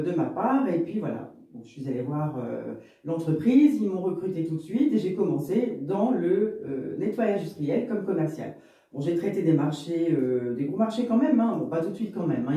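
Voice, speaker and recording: A woman's voice: on the low side, expressive, fairly quick, warm tone, very echoey, distant mic. A woman in her fifties from Lille, telling her career story in a rambling, chatty way as an interview guest. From Lille, northern France. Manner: chatty and rambling, many fillers and asides. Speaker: guest, business owner in real estate.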